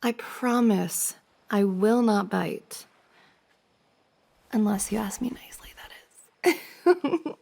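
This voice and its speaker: A woman's voice: mid-range voice, lively intonation, slow, vocal fry, long pauses, exaggerated voice. A woman playing a playful, teasing young woman, a best friend's older sister, in a giggly, casual ASMR roleplay. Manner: giggly, teasing banter with frequent soft laughs.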